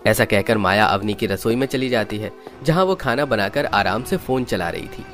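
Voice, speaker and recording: Male story narrator, low voice, scripted delivery, music underneath. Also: expressive, normal speed, a bit bright.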